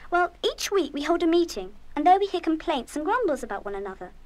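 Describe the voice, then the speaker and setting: Schoolgirl prefect, bossy and no-nonsense, high animated voice, children's radio drama. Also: quick pace. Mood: officious. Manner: firm, officious. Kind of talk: full-cast children's radio play acting.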